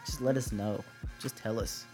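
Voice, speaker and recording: A young man with a soft voice, hosting a casual student podcast and sounding relaxed and sleepy. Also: lowish voice, moderate pace, over music, low-quality recording. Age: young adult. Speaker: podcast host. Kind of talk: casual chat.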